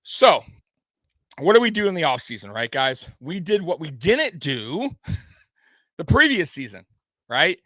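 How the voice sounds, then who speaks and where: Bright-voiced man hosting a casual sports recap. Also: on the high side, very animated, unhurried, pauses often, no echo, poor audio. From Detroit area. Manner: loose, rambling, talks to the chat. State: a little tipsy. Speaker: host.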